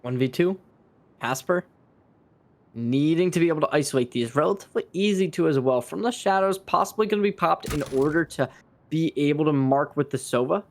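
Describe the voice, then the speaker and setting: A man's voice: on the high side, lively intonation, a little fast. A man giving live play-by-play commentary on an esports match. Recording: clearly noisy stream with clicks.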